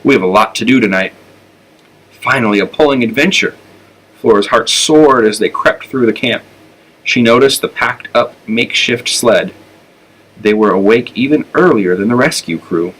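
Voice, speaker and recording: A man reading a children's story aloud with expressive narration. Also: mid-range voice, normal speed, husky, low-quality recording. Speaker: elementary school storyteller.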